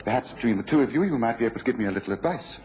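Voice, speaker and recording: An actor playing an inquisitive gentleman detective in a radio drama, British, precise and courteous. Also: mid-range voice, expressive, rapid-fire, close to an everyday voice. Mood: genial, inquiring. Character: Sherlock Holmes, brisk, inquisitive gentleman detective. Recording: dull, noisy radio recording.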